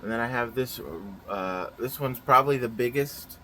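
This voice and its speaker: A man's voice: medium pitch, moderate pace, bright, forceful tone, slight background noise, rough recording. A young man chatting casually through his daily vlog plans, a little nasal and rambling with lots of fillers. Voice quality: slightly nasal. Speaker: vlogger.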